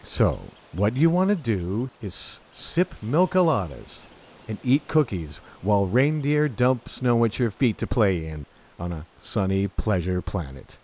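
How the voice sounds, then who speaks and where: A man's voice: lowish voice, very animated, unhurried, darkish tone, a little hiss or hum. A man playing an earnest, persuasive space adventurer hero in a sci-fi comedy audio drama, speaking with dramatic sincerity. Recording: music behind the voice. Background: music.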